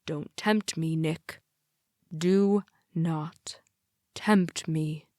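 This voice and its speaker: A woman's voice: on the low side, steady tone, a little slow, no room sound, clean studio sound, lightly acted. A woman voicing a stern, controlling father in an audiobook, speaking in a commanding, clipped way. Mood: cold, warning. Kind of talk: audiobook character dialogue.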